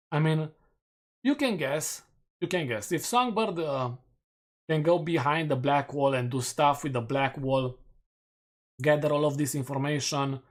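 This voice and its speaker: A man with a Romanian accent, a streamer casually going over community poll results with self-mocking jokes. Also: on the high side. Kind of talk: casual chat reading community polls.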